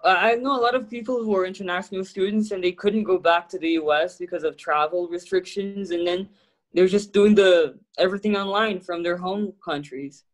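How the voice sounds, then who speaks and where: A young university student from Brazil takes part in an interview. His voice is high for a man, and he keeps his answers short and easygoing. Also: fairly quick. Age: young adult. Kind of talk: casual interview conversation.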